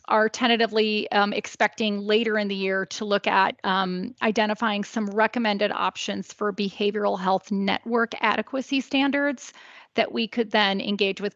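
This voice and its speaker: A woman's voice: mid-range voice, fairly bright tone, no room sound. A woman presenting a work report at a board meeting, speaking steadily with frequent ums. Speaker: staff presenter. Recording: dull, dry audio.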